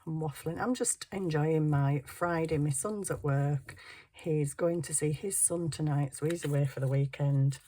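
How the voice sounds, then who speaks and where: A hoarse middle-aged woman chatting casually to herself while crafting, muttering and poking fun at herself. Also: deep voice, a little slow, mellow. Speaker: craft channel host.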